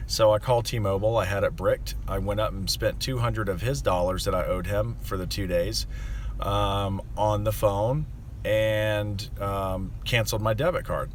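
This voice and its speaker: A man's voice: on the low side, steady tone, moderate pace, slight background noise. A young man who runs a landscaping business, rambling with lots of fillers as he vents to the camera. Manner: rambling and aggrieved, many fillers. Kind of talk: casual monologue to camera.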